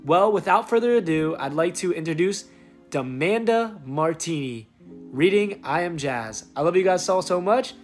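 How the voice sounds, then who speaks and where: A man's voice: sits high, lively intonation, normal speed. A man giving an earnest, upbeat message of encouragement into a phone at home. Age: 20s. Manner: effusive, earnest. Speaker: guest introducer, activist. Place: indoors in a room at home. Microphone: phone or webcam at arm's length. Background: guitar music.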